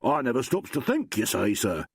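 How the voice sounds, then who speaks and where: A man voicing a gruff, rough-spoken country constable who talks in broken, slangy grammar. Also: mid-range voice, huge pitch swings, moderate pace, no room sound, studio quality, exaggerated voice. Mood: aggrieved. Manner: rough ungrammatical slangy speech, morose.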